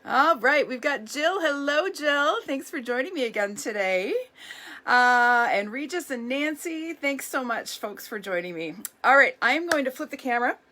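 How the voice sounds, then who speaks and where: A hoarse woman hosting a live craft demonstration, chatty and upbeat, greeting viewers by name as she works. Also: sits high, expressive, normal speed, sharp tone. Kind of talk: live craft demo chat.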